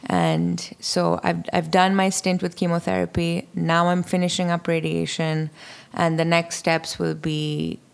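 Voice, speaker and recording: A woman's voice: on the low side, flat delivery, unhurried, vocal fry, clear, resonant tone. A young woman chef from Pakistan, a podcast guest, talking candidly and matter-of-factly with many fillers. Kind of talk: podcast interview conversation.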